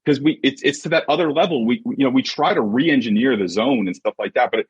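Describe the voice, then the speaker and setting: A husky-voiced man giving an emphatic, conversational motivational talk as a coach and podcast guest, often checking in with short rhetorical questions. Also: medium pitch, fast, no echo. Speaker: podcast guest, sports mindset coach and author. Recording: dull, muffled lo-fi audio.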